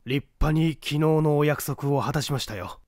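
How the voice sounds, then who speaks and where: A man voicing a gentleman master thief in an audiobook, polite, reserved and hesitant, speaking softly. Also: on the high side, normal speed, no room sound, studio quality, natural voice. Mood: hesitant, restrained. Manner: hesitant, soft-spoken, polite.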